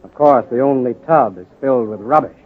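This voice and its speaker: An actor playing an earnest hospital doctor in a 1940s radio drama style. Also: male voice, medium pitch, brisk, lightly acted. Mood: grim, matter-of-fact. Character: earnest hospital doctor, quietly conspiratorial reformer ally. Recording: tinny old radio recording.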